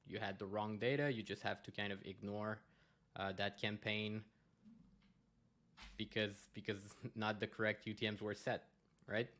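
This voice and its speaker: A man's voice: fairly low pitch, a bit bright. A man from Quebec, a native French speaker with a Québécois accent, giving a conference presentation and explaining with many fillers and restarts. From Quebec, Canada.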